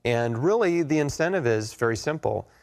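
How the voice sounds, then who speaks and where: A male interview guest with a medium-pitched voice and noticeable vocal fry. He explains his points plainly and earnestly, in the conversational style of a talk show. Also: lively intonation, moderate pace, a little mellow. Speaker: interview guest, health author.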